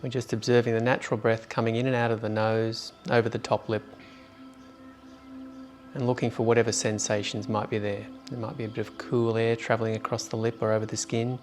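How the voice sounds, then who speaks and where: A man leading a guided meditation in an informal, unhurried way with long pauses. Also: medium pitch, flat delivery, soft background music.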